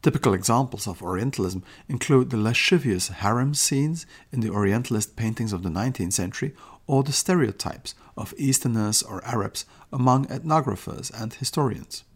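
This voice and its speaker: Gravelly-voiced man lecturing in a podcast. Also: medium pitch. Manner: measured, explanatory delivery with occasional restarts; quotes passages aloud. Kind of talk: lecture-style podcast narration.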